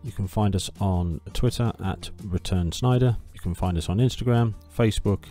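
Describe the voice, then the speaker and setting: A man's voice: on the low side, normal speed, warm tone, faint music behind. A man reading a scripted promo for a podcast.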